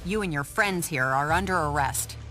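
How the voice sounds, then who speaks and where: A woman plays a stern, by-the-book female commander. Her voice is low and authoritative, with clipped phrasing, over music in a video game cutscene. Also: moderate pace, lightly acted, poor audio.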